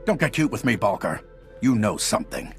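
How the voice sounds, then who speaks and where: An actor playing an authoritative, annoyed senior intelligence agent in a video game, sharp and accusatory. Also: male voice, fairly low pitch, very animated, fairly quick, soft background music. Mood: irritated, accusing. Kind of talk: video game dialogue acting.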